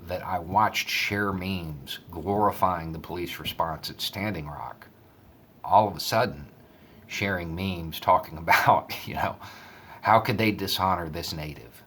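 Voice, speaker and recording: Very deep-voiced man, casual commentary. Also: a little slow, fairly bright tone, husky. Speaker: online commentator. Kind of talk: casual spoken commentary and storytelling.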